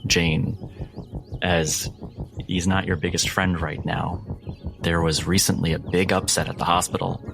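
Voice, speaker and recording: Deep-voiced man narrating slowly. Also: gravelly. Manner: deliberate, pausing between phrases. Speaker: game master. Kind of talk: slow narration of a tabletop horror game.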